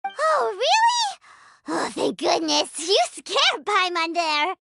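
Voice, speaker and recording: A woman voicing a tiny, excitable floating fairy-like girl in a video game, with a squeaky, childlike way of talking. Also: slow, creaky, a little hiss or hum, heavily acted. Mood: relieved. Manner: childlike, talks of self in third person. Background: jingly game music.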